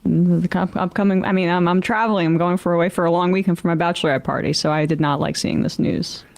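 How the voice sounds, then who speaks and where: A man's voice: high-pitched, steady tone, quick pace, gravelly, dry recording. A man chatting casually as a co-host on a talk show. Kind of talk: casual chat.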